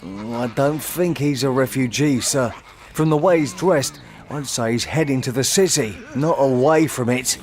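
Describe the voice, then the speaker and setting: A man's voice: mid-range voice, normal speed, a little mellow, close to an everyday voice. An actor voicing a nervous, gossipy young guard who defers to his superior in a video game. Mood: explaining. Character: young male camp guard, chatty and nervous.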